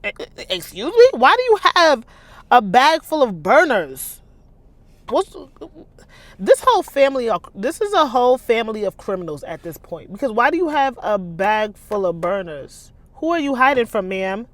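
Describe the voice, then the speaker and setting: A woman's voice: a little high, sing-song, moderate pace. A woman author reading her own novel aloud, with sassy, incredulous, slangy commentary in a casual style.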